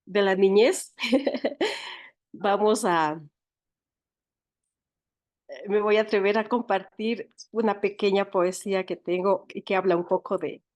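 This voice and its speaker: A woman's voice: mid-range voice, takes it slowly, pauses often, a little mellow, muffled recording. A woman with an Ecuadorian accent talking reflectively as an interview guest, searching for words and repeating them as she tells her story. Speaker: writer and teacher, interview guest.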